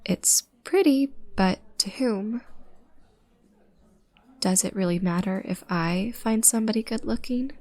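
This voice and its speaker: A woman's voice: fairly low pitch, creaky, darkish tone, heavily acted. A woman playing a shy, awkwardly sweet young stranger who rambles hesitantly and self-consciously in an intimate roleplay audio drama. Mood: self-doubting, overthinking.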